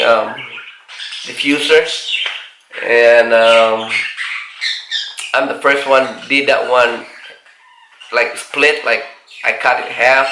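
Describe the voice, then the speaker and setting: Gravelly forty-year-old Filipino man vlogging. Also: mid-range voice, roomy sound, noisy background. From Philippines. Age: 40s. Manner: halting, many fillers and restarts. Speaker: car vlogger. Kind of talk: casual Q&A vlog.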